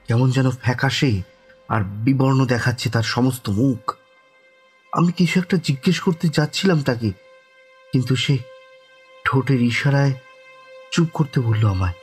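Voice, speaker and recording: An actor plays a haunted man telling his own ghost story. His mid-pitched voice moves slowly and haltingly, building suspense with dramatic pauses and repeated words. Music sits under the narration. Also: a little mellow, natural voice. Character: shaken, haunted man recounting a ghostly dream. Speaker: narrator of a horror audio story. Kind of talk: dramatic first-person horror narration.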